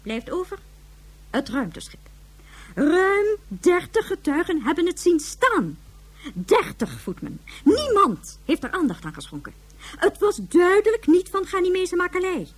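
An actress in a Dutch radio drama playing a brisk, sardonic high official who speaks formally, curtly and dryly. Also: female voice, wildly swooping pitch, full character voice. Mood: measured, grave. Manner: formal, curt, dry.

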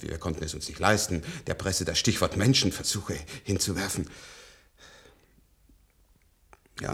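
An actor in a German radio play, playing a weary, very old scientist who talks hesitantly and reflectively in a husky, gravelly voice. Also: male voice, deep voice. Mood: weary recollection. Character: weary, very old scientist with a longevity-treated middle-aged body.

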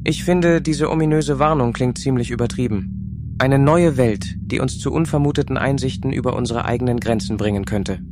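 An actor playing a rational, optimistic and somewhat dismissive space explorer in a science fiction audio drama. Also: male voice, mid-range voice, normal speed, noisy background, lightly acted. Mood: confident. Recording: music behind the voice.

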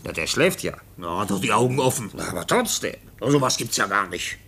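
A man playing a gruff, impatient police sergeant in a radio play, barking questions and dismissive remarks. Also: fairly low pitch, big pitch swings, a little fast, crowd noise. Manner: barking, interrogating, insulting his subordinate.

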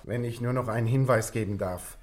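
A man acting a talkative, gently cheeky police assistant addressing his boss in a 1960s radio play. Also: medium pitch, fairly even intonation, brisk, a little hiss or hum, natural voice. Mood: chatty, wry. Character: chatty, cheeky, deferential male police assistant.

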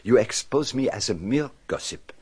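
A man's voice: medium pitch, lively intonation, normal speed, a little mellow, husky, dry recording. A man playing a shrewd, courtly detective with a French accent in a radio drama, speaking with theatrical, probing courtesy. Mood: courteous, probing.

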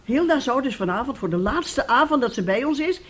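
A woman's voice: high-pitched, animated, a little fast. An actress playing a weary, scheming middle-aged wife and mother in a radio drama, with a wheedling way of talking. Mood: coaxing, nervous.

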